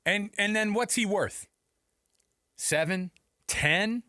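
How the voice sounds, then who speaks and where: A man's voice: sits high, slow pace, pushed and bright, dry recording, studio quality. A man hosting a sports talk radio show, bantering casually in an informal, playful way. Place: radio studio. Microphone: close studio mic.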